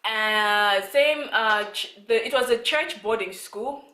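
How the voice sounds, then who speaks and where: A woman's voice: a little high, takes it slowly, sharp tone, roomy sound. A young woman from Zimbabwe talking casually to her channel's viewers, rambling with lots of fillers and restarts. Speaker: vlogger. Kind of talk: casual talk to camera. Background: child talking in the background.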